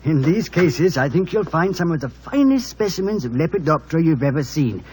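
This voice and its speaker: An actor playing a touchy, jealous middle-aged British husband in an old radio drama, with a prickly, sardonic manner. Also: male voice, on the high side, expressive, fairly quick, darkish tone, a little hiss or hum, natural voice. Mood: proud, enthusiastic. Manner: prickly, sardonic, clipped asides. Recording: vintage radio recording.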